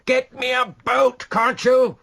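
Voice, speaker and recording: A man voicing a furious middle-aged gentleman who shouts and curses at the men on the quay. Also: very high voice, flat delivery, slow pace, gravelly, pitched above the performer's own voice, full character voice. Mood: furious shouting. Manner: shouting, cursing.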